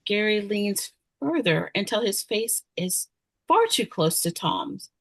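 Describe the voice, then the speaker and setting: A woman narrates the action of a screenplay at a table read. Her voice is mid-pitched for a woman. The sound is very dry, with little room. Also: sing-song, takes it slowly, a bit bright, no echo. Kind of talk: scripted narration of screenplay directions.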